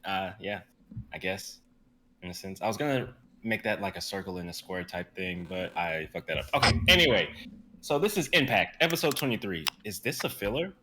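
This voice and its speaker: A man's voice: sits low, expressive, brisk, noisy background. A man introducing a script reading and its cast, making rambling self-correcting asides. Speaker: reader of stage directions. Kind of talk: casual chat, then introducing a read.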